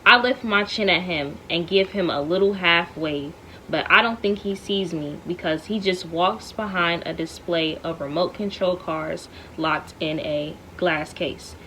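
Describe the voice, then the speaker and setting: A woman's voice: fairly low pitch, unhurried, a little hiss or hum, rough recording. Woman reading aloud to her children. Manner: lively, lifts her voice for quoted dialogue. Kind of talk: expressive read-aloud of a children's novel.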